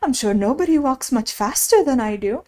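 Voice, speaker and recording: A woman's voice: high-pitched, lively intonation, measured pace, a little mellow, voice pushed up. A woman voicing a sulky, literal-minded male messenger to a storybook king, with an emphatic manner.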